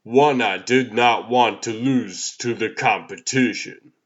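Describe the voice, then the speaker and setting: Man playing a slow, smug media boss. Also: mid-range voice. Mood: condescending. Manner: condescending, measured. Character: smug, domineering male media executive. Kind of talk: comic dub voice acting. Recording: slightly dull, muffled sound.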